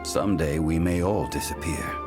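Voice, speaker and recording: An actor voicing an ancient, calm god in human form for a video game, speaking in a measured, formal, reflective way. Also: male voice, rumbling low, loud music behind the voice. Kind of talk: scripted video game character dialogue.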